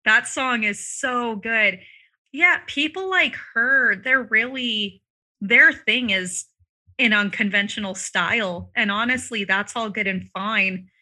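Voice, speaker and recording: A woman with a very bright, forward voice talks casually about singing and vocal health. She is loose and chatty, full of "like" and "um." Her throat sounds a little dry, and she clears it from time to time. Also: a little high, slow. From Arizona, US. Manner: chatty, many fillers like "like" and "um". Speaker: vocal coach guest. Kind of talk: casual podcast conversation.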